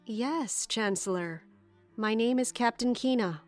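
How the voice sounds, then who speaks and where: Woman acting as measured, resolute fantasy guard captain. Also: a little high, darkish tone, soft background music, close to an everyday voice. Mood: polite, guarded. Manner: formal, measured, commanding. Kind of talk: fantasy audio drama acting with first-person narration.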